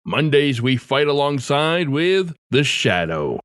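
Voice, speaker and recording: A dry, close studio sound carries a man hosting a podcast. His mid-pitched voice moves with lively intonation through a scripted introduction. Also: slow, dry recording. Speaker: podcast host.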